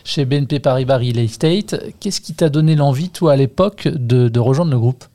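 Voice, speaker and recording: A man's voice: mid-range voice, moderate pace, warm tone. A man hosting a podcast, speaking in an articulate, structured but informal way. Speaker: podcast host and interviewer. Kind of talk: podcast interviewing and presenting.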